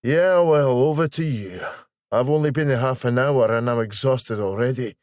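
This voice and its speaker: A man's voice: mid-range voice, normal speed, a little mellow, dry recording, clean studio sound, pitched above the performer's own voice, close to an everyday voice. A man voicing a playful, teasing Scottish man in his thirties for a storybook.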